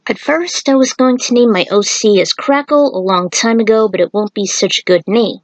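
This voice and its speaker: A woman's voice: mid-range voice, moderate pace, dry recording. A woman reading her own fan fiction aloud as an amateur narrator and adding author's notes. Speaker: fan fiction writer and podfic reader.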